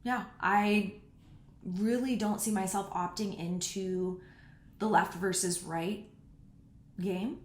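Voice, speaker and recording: A woman's voice: medium pitch, fairly even intonation, takes it slowly, pauses often. A woman in her thirties hosting a podcast, talking frankly and casually as she answers listener questions. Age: 30s. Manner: frank and candid, speaks bluntly about personal topics. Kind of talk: casual solo podcast talk. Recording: roomy echo.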